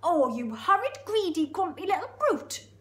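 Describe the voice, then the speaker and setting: A woman puts on a big Geordie hippopotamus from a children's tale. The voice is raised and exclamatory, friendly at heart but quick to scold in horror. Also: extremely high pitch, big pitch swings, voice pushed up. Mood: indignant. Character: huge indignant hippopotamus, friendly but easily horrified.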